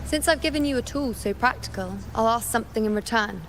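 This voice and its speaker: A woman's voice: a little high, brisk, fairly bright tone, slight background noise. A woman voicing a proud, teasing young priestess in a video game, self-assured yet tender, with haughty, playful poise.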